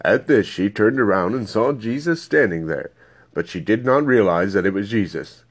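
A man's voice: fairly low pitch, lively intonation, moderate pace. A man reading scripture aloud as scripted narration. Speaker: Bible reader.

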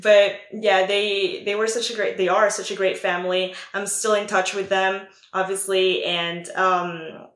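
A woman's voice: mid-range voice, flat delivery, measured pace, few pauses, fairly bright tone, reverberant. A young woman from Italy with an Italian accent tinged American, answering interview questions casually with plenty of fillers. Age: young adult. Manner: chatty, many fillers like "um" and "like". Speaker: guest, former exchange student.

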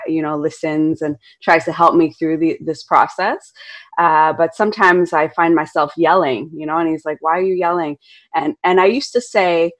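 A woman hosting a conversation, talking openly and chattily with many fillers. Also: sits low. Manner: open, chatty, lots of "you know". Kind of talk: casual conversation.

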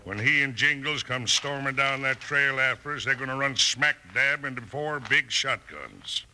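A man's voice: mid-range voice, lively intonation, normal speed, pushed and bright. A man playing a vengeful, cocky outlaw boss in an old Western radio drama, hard and menacing. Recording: old radio recording, faint noise.

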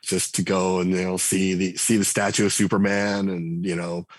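A man's voice: low-pitched, little pitch movement, moderate pace, no room sound. A man speaking as a podcast guest, talking in a thoughtful, rambling way with lots of fillers and restarts. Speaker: game writer and designer guest. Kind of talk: conversational podcast interview.

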